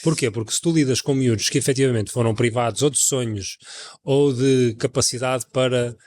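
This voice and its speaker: Rambling host, mid-pitched man. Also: a little fast, a little mellow. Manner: rambling, restarts, shares anecdotes. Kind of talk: casual panel conversation.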